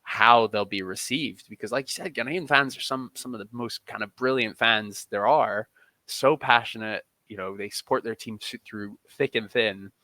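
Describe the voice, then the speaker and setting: A man's voice: fairly low pitch, moderate pace, pushed and bright, no echo. A man speaking as a podcast interview guest, talking emphatically and often repeating or restarting his phrases mid-thought. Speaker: interview guest, football journalist.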